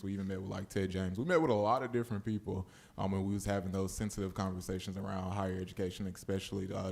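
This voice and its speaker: A man's voice: low voice, creaky, darkish tone. A young man giving an informal spoken report as a board member at a meeting. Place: public board meeting room.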